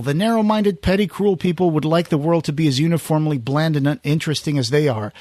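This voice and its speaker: A man's voice: on the high side, dry recording, studio quality. A man hosting a podcast, chatting casually and reading out listener comments. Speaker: podcast host.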